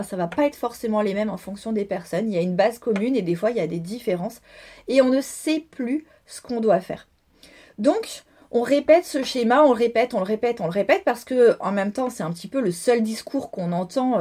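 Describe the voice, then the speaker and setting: A woman's voice: a little high, darkish tone. A woman from France coaching listeners on style, talking informally with many fillers and repetitions. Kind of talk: podcast monologue.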